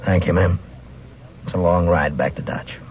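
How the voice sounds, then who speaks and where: Man acting as a grim, low-voiced western marshal. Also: steady tone, moderate pace, a little hiss or hum, natural voice. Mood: somber, quiet. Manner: terse, grim, restrained. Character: stern, world-weary male U.S. marshal in the Old West. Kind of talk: 1950s radio western acting and narration. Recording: lo-fi vintage radio broadcast, tinny.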